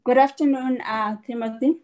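A woman introducing herself on a panel, hesitant with many pauses and fillers. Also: high-pitched, steady tone, a little mellow. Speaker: panelist, company executive.

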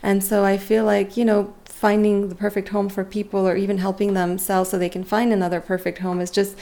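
A woman in her forties who grew up speaking French answers as an interview guest. Her voice sits at a middle pitch with a creaky edge and stays fairly flat in melody. She speaks in a reflective, earnest, conversational way. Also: fairly quick. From Lebanon and Montreal, Canada. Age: 40s. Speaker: interview guest, real estate agent. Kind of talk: conversational personal interview storytelling.

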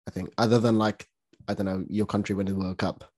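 A man's voice: on the low side, flat delivery, quick pace, a little mellow, no echo, studio quality. A man with a South Welsh accent co-hosting a podcast, chatty and jokey. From South Wales. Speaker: podcast co-host. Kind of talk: casual chat.

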